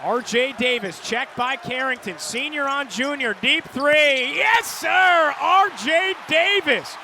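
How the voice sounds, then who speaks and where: An American man doing live radio play-by-play of a basketball game in clipped, energetic phrases. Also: very high voice, takes it slowly. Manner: clipped phrases, builds to excited calls on big plays. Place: courtside in a large indoor arena. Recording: live arena broadcast, loud court noise behind. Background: loud arena noise, bouncing basketball.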